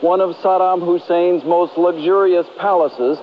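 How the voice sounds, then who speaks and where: A male correspondent reports in a fairly high voice. The radio sound is dull, with slight background noise. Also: steady tone, unhurried. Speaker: foreign news correspondent. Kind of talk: scripted news report.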